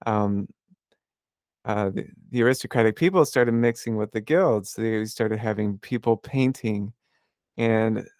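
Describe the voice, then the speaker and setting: A man's voice: fairly low pitch, clear, resonant tone, no echo, studio quality. A man hosting a podcast, explaining history in a casual, rambling way with many fillers and restarts. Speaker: podcast host. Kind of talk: casual educational conversation.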